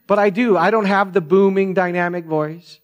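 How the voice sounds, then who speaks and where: A man preaches with a soft, unbooming voice that sits a little high for a man. He talks in an earnest, conversational way, circling back and restarting as he tells his story. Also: fairly even intonation, normal speed, lo-fi sound. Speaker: pastor. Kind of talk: sermon.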